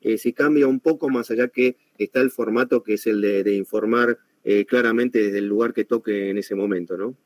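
Dry-sounding Argentine radio host. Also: male voice, on the low side, fairly even intonation, no echo. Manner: brisk, friendly, speeds up in asides. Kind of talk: radio talk show hosting.

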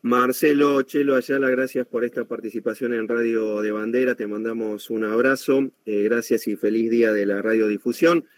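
Argentine male radio host, mid pitch, dry close sound, brisk and friendly. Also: steady tone, no echo. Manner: brisk, friendly, speeds up in asides. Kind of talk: radio talk show hosting.

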